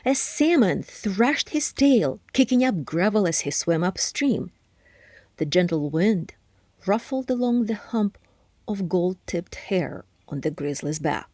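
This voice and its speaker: A woman narrating a children's story aloud expressively, with deliberate phrasing and hushed, breathy word endings. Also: medium pitch, animated, no room sound. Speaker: storybook reader.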